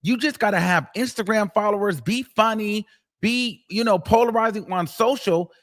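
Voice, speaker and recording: A man's voice: high-pitched, normal speed, a bit bright. A man hosting a live stream, giving emphatic opinions in casual chat. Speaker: host.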